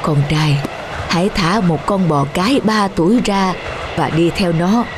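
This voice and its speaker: Woman voicing a frail, gentle old cartoon father. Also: on the low side, measured pace, noisy background, lightly acted. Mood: patient, advising. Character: frail, wise, self-sacrificing old father. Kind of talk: cartoon folktale dubbing.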